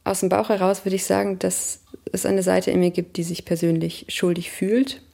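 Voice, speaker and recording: A woman's voice: on the low side, flat delivery, normal speed, vocal fry, mellow. A woman around thirty gives a reflective personal account in an interview, thoughtfully searching for her words. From Germany. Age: 30s.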